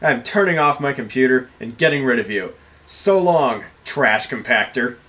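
A man's voice: medium pitch, lively intonation, normal speed, pushed and bright. A young man acting in an amateur comedy skit with exclamatory, exasperated delivery, recorded on a camera in a bedroom. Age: young adult. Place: small home bedroom, indoors.

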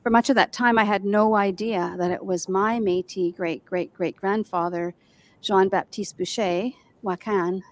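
A woman in her sixties reads steadily from prepared notes, her voice quiet and soft-spoken. She speaks at a middle pitch into a handheld mic, hosting a gathering over a video call from a room at home. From Canada. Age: 60s. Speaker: event host. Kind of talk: reading a land acknowledgment.

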